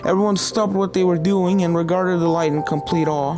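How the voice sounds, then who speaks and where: A woman's voice: lowish voice, steady tone, fairly quick, music in the background. A woman reading a fan fiction story aloud as narrator, in a scripted, dramatic storytelling style.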